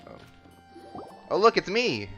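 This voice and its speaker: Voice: mid-range voice, big pitch swings, slow pace, dull audio, over loud music. A game streamer giving casual playthrough commentary with offhand, wry asides.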